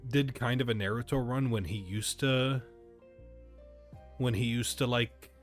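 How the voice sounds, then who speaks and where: A young man in casual, animated, slangy chat, swearing freely as he tells stories. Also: medium pitch, a little slow, faint music behind. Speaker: podcast host.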